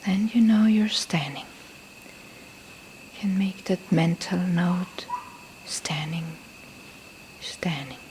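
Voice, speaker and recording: A woman who is a non-native English speaker leads a guided meditation as a teacher, speaking softly and breathily, often near a whisper, in gentle, deliberate short phrases with pauses. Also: mid-range voice, steady tone, low-quality recording. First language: not a native English speaker. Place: temple in Thailand. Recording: faint background noise, live field recording.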